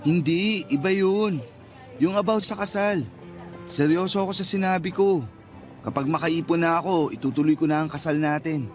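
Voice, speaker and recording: A man plays a sweet-talking young Filipino boyfriend in a radio romance. His voice sits a little high, teasing yet earnest and full of affection, over soft background music. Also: moderate pace, close to an everyday voice. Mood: eager, earnest. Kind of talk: radio romance drama acting.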